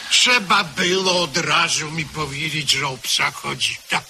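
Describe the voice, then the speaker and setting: An actor playing a shrewd, folksy village parish priest in a radio drama. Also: male voice, on the high side, unhurried, gravelly. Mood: coaxing. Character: shrewd rustic village parish priest, middle-aged man. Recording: old radio play recording with light hiss.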